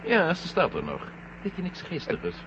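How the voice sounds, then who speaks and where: Sardonic, unflappable astronaut, highish swooping voice, vintage sci-fi radio play. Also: male voice, huge pitch swings, normal speed. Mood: wry. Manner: dry, wry sarcasm. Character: cool-headed sardonic male astronaut in space. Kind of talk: 1970 science-fiction radio drama acting. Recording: muffled, noisy old radio drama recording.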